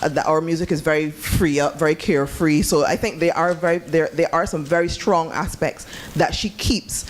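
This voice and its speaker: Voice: medium pitch, steady tone, normal speed, few pauses, a little hiss or hum. Hesitant participant from Barbados speaking up. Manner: hesitant with many fillers. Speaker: seminar participant. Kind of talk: comment from the audience. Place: seminar room.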